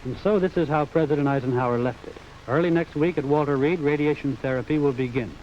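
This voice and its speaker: A man's voice: fairly high pitch, fast talker, darkish tone. A man with an American accent delivering a scripted news report as a correspondent, formal and declarative. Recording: dull 1950s broadcast, faint noise.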